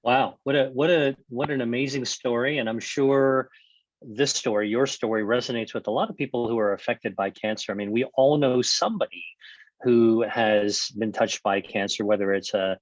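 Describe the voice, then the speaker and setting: Man hosting a webinar, halting. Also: mid-range voice, dry recording, muffled recording. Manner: halting, repeats words and uses fillers. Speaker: webinar host. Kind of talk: conversational interview.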